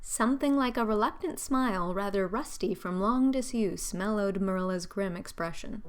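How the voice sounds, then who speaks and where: A woman's voice: medium pitch, normal speed, clean tone, faint music behind. A woman reading scripted narration as the narrator of a storybook audiobook.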